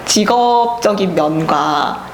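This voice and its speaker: A woman's voice: medium pitch, expressive, takes it slowly, soft background music. A woman hosting an interview, listening attentively and asking questions. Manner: attentive, gives many short acknowledgements. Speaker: host. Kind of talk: interview host. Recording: echoey room with faint noise.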